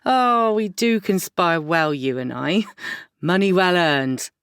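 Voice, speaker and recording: Slow, low, coy seductress played by an actress. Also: female voice, animated, a bit bright, clean tone, no echo, clean studio sound, heavily acted. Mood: gloating. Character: coy, seductive female counsellor, secretly a con artist. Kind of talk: audio drama acting.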